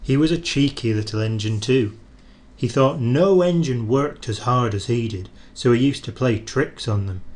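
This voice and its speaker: A man reading a children's train story aloud in an expressive, animated storyteller's manner. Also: medium pitch, normal speed.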